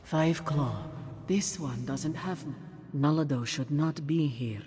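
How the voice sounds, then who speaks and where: A man voicing a reserved cat-folk character in a video game, speaking in a measured, halting way. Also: on the high side, darkish tone. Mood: grave. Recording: long reverb, faint background noise.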